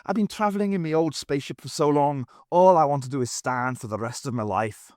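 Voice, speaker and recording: A man voicing a sorrowful, pleading Irish space traveller with a high, strained voice and an Irish accent. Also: brisk, darkish tone, no echo, studio quality, natural voice.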